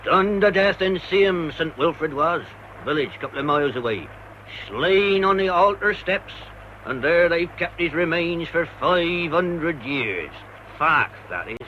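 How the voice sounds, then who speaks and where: An actor playing a blunt, grumbling English working-class senior verger in a British radio drama, speaking in clipped sentences. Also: male voice, fairly high pitch, expressive, a little slow, dull audio, a little hiss or hum. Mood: blunt, disapproving.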